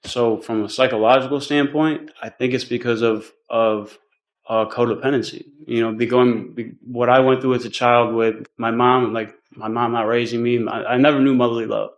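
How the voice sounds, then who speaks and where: An American man speaking as a defendant in court, explaining himself informally and haltingly, leaning on "like" and "you know". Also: medium pitch, fairly even intonation.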